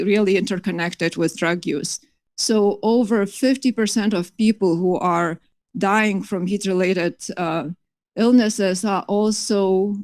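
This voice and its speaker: A woman's voice: medium pitch, darkish tone, no echo. A middle-aged woman from Lithuania, giving an earnest academic conference talk with many fillers and restarts. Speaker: conference presenter. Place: conference hall.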